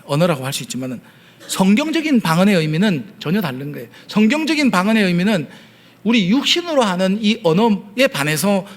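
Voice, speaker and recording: A male pastor with a Gyeongsang accent and a fairly high voice delivers a sermon in a church. He talks earnestly and patiently, often stopping on hesitation sounds as he explains a point. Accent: Gyeongsang Korean. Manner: earnest preaching with frequent hesitation fillers. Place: church sanctuary.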